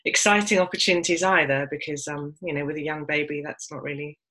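A woman in her forties from London with a low voice and a bright, forceful edge. She talks reflectively and openly, thinking aloud and filling her pauses. She sounds like an interviewee in an unhurried conversation. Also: fairly even intonation. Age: 40s. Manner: open and reflective, with many fillers. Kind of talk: casual conversation.

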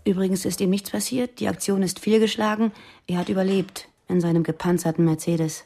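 Actress as uneasy young militant woman, mellow voice. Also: medium pitch, little pitch movement, fairly quick, close to an everyday voice. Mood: earnest, confiding. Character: uneasy young female militant confiding secrets, wanting out. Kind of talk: German radio drama dialogue.

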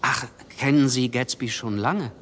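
A man's voice: medium pitch, a little slow, fairly bright tone, natural voice. An actor playing a curious, polite, somewhat naive young man with a reserved, questioning manner in a German radio drama. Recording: faint ambient noise.

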